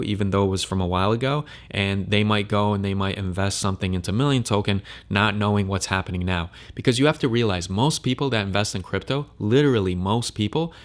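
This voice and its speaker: A man giving blunt, emphatic opinion commentary on a crypto controversy. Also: lowish voice.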